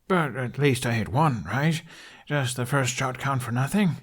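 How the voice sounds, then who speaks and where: A man reading a storybook plays a doubtful young trainee whose voice sits noticeably higher than the narration. He is sarcastic and quick to protest, with a dry deadpan edge. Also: husky. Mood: frustrated. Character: sarcastic, doubtful young male archery student.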